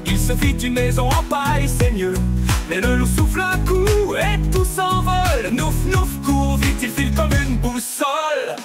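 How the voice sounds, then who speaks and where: A woman's voice: sits high, expressive, measured pace. A woman singing a children's song as the lead singer. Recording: loud reggae-like music behind. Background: loud music.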